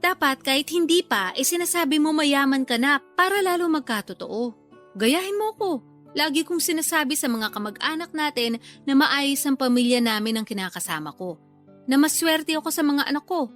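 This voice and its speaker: Casting: middle-aged working-class mother, high voice, nagging, boastful banter, radio drama with light music. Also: animated, moderate pace, a bit bright. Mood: boastful, teasing. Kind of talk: Tagalog radio drama acting.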